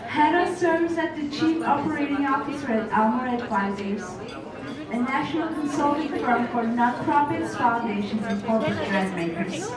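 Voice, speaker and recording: High-voiced woman hosting in an echoey hall. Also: moderate pace. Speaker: event host introducing speakers. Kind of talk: event announcements. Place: large event room with audience. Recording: echoey hall, distant mic, audible crowd.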